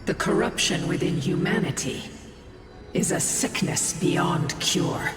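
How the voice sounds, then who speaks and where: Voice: medium pitch, expressive, a little slow, recorded from a distance. A narrator speaking in a solemn, prophetic way. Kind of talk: solemn scripted game narration. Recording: echoey reverb with game music.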